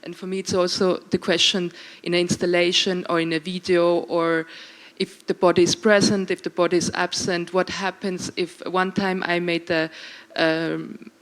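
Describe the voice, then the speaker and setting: Flat-voiced, measured woman artist. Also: lowish voice. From Ukraine, raised in Switzerland. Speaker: artist panel guest. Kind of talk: reflective panel talk. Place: panel discussion at an art event venue.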